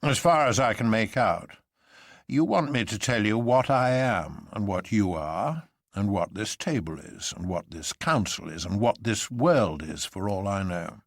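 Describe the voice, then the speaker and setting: A man acts out an immense, mysterious president for a radio story. He is silky and teasing, with grandiloquent phrasing and a booming, theatrical sense of his own riddle. The sound is dry. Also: lively intonation, unhurried, no room sound. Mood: mocking. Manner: smooth, mock-genial, grandiloquent.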